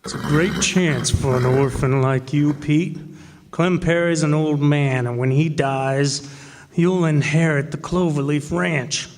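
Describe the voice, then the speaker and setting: A man's voice: on the high side, steady tone, unhurried, slight background noise. A man playing a sly cowboy outlaw and blackmailer in an old-time western radio drama. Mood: persuasive. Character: Bogus, scheming blackmailing male cowboy outlaw and killer. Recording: echoey hall, live stage performance.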